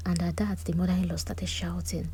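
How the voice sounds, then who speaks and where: A woman gives a chatty, animated recap of a reality-show drama, with personal asides. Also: lowish voice, fairly quick, husky. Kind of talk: casual recap commentary.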